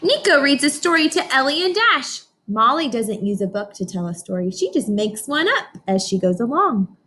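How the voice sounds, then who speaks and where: A woman teacher reading a picture book aloud to young children, expressive, often pausing to question her listeners directly. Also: a little high, slightly rough. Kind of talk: informational read-aloud lesson for young children.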